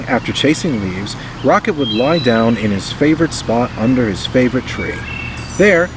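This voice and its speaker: A man reading a children's picture book aloud in expressive scripted narration. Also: mid-range voice, background noise. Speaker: storybook reader.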